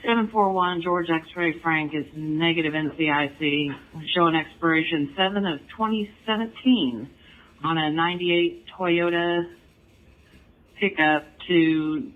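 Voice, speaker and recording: Higher-pitched American male police dispatcher. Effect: police radio transmission. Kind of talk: procedural radio readout. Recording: narrow phone-like police scanner radio.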